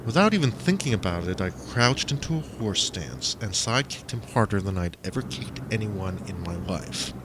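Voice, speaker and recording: A man's voice: fairly low pitch, lively intonation, normal speed, few pauses. A man reading a first-person story aloud as a dramatic audiobook narrator. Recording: faint noise behind the voice.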